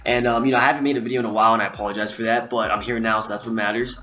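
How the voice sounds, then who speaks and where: A man's voice: on the low side, fairly even intonation, very fast, pushed and bright, soft background music. A young man talking casually to his laptop at home, rambling with lots of fillers, a little out of breath. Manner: rambling, filler-heavy, chatty. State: slightly out of breath. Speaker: vlogger. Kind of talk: casual talk to camera. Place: home room, indoors. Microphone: laptop built-in mic.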